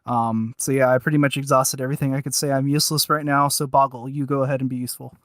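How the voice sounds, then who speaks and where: A man's voice: medium pitch, flat delivery, quick pace, a bit bright, no room sound, studio quality. A man co-hosting a podcast, chatting casually and off the cuff in a hesitant, rambling way full of filler words. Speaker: podcast co-host. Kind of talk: casual unscripted review chat.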